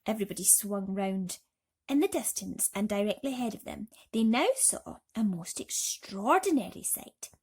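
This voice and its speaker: A woman's voice: mid-range voice, expressive, normal speed, a little mellow, slightly rough. A woman narrating a children's bedtime story expressively.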